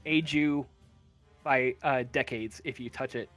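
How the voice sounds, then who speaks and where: Hesitant, slow-paced man running a tabletop game. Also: mid-range voice, a bit bright, faint music behind. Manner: halting, hesitant, thinking aloud. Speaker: game master. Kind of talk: improvised narration of a tabletop role-playing game.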